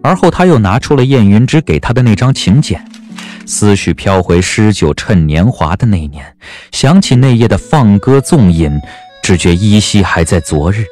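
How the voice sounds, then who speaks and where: Low, expressive male narrator. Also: moderate pace. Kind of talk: dramatic scripted audiobook narration. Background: background music.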